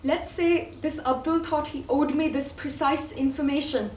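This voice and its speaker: An actress in a stage play playing a proud, wounded former sweetheart, speaking in a defensive, guarded and emphatic way. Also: female voice, sits high, fairly even intonation, normal speed, lightly acted. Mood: defensive, confessing. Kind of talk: theatrical stage play acting. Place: theatre stage, recorded from far off. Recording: distant echoey stage recording, faint noise.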